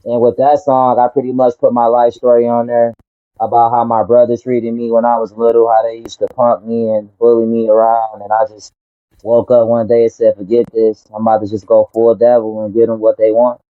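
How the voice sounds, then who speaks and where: A man's voice: medium pitch, monotone, a little fast, no echo, phone-quality sound. A man from Detroit, a rapper, answering interview questions in a laid-back, earnest way. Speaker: rapper guest.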